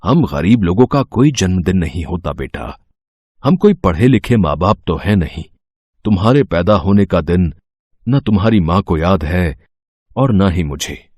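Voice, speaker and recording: A man's voice: deep voice, expressive, unhurried, a little mellow, breathy edge, no echo, clean studio sound, full character voice. A man playing a poor, humble labourer father in a moral story, speaking in a subdued, deferential way. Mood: resigned, sad. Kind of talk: dramatised Hindi moral story acting.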